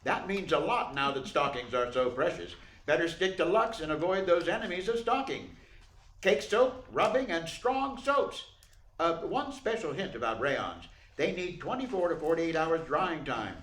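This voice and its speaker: Man as lively, emphatic vintage radio announcer. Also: on the high side, moderate pace. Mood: emphatic, formal. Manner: formal, emphatic. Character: emphatic 1940s male radio announcer and narrator. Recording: echoey room.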